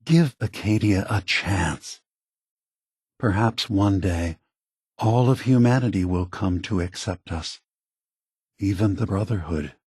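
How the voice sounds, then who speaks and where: A solemn synthetic leader in a video game. His low, slightly husky voice moves very slowly and gravely. He sounds weary and diplomatic, choosing each word with measured care. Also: a little mellow, dry recording, studio quality, heavily acted. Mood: calm, grateful. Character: weary, solemn android leader of a refuge, male. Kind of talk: scripted game dialogue.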